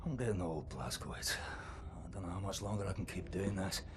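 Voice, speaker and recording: An actor playing an older male fighter, bitter and foul-mouthed, swinging from muttering to shouting. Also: sits low, expressive, moderate pace, background noise. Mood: weary. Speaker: resistance fighter. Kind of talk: video game cutscene acting.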